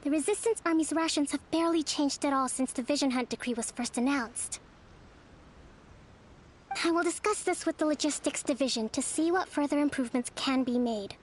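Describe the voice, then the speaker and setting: Woman as a high-voiced, composed young resistance leader. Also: a little hiss or hum, natural voice. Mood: grave. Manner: formal, measured, earnest. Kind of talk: scripted video game dialogue.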